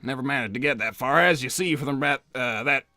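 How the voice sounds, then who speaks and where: A man's voice: medium pitch, fairly quick, pushed and bright, dry recording, faint music behind. A man voicing an old hedgehog uncle in a cartoon interview, hesitant and evasive, stammering and repeating himself as he rambles. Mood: wistful, evasive. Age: older. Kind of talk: improvised in-character interview.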